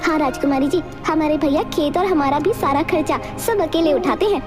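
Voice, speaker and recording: Woman doing an eager, high-pitched village girl. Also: brisk, music in the background, lightly acted. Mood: helpful. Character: eager helpful village girl, a farmer's little sister. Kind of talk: Hindi animated fairy tale acting.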